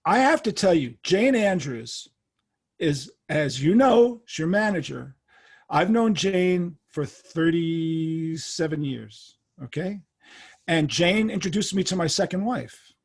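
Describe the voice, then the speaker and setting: An older man hosts an interview, talking eagerly and steering the chat with his own stories. His voice is fairly high for a man and has a husky edge. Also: lively intonation, slow pace. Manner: eager, talkative, leads with anecdotes. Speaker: podcast host.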